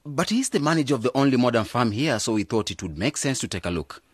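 A man's voice: medium pitch, sing-song, quick pace, a little hiss or hum, close to an everyday voice. An actor playing an earnest, idealistic young man returning to his village in a radio drama, speaking with emphasis. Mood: conciliatory. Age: young adult.

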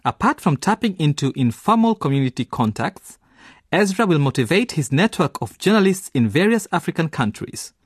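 A male radio narrator with a fairly high, husky voice. His scripted delivery is upbeat and very lively in intonation. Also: normal speed, no echo, clean studio sound. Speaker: narrator and series presenter.

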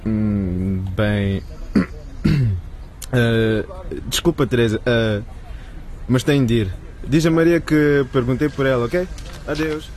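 A man's voice: medium pitch, takes it slowly, long pauses, a little mellow, natural voice. A man playing an awkward, lovestruck young suitor in a radio drama, hesitant and defensive. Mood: teasing, awkward.

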